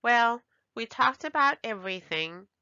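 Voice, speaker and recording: A woman voicing an excitable, emphatic little girl who is a daughter in a children's storybook. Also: a little high, measured pace, smooth, dry recording, dull audio, voice pushed up, heavily acted. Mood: eager, proud.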